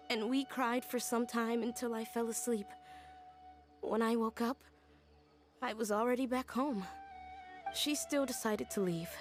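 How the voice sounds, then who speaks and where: A woman's voice: a little high, close to an everyday voice. An actress voicing an earnest, wistful woman in a story-driven video game. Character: earnest, wistful adult woman recalling her childhood tutor. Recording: music behind the voice.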